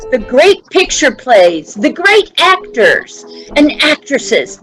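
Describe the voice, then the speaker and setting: A ceremonious forties radio announcer, played by a woman, with a slightly raised pitch. She reads formal scripted copy very slowly and deliberately, with faint music underneath. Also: fairly bright tone, full character voice, rough recording.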